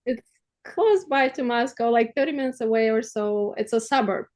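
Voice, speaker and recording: A woman from Armenia, raised in Russia, speaking English as a guest, earnest and halting, sharing her story with light laughter. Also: a little high, a little mellow. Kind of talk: personal testimony in a conversation.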